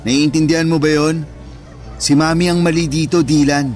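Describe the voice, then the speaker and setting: An actor playing a protective, caring older brother in an emotional radio drama, speaking earnestly and emphatically. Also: male voice, on the high side, fairly even intonation, normal speed, over music, lightly acted. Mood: firm, earnest. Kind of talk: radio drama acting in Tagalog.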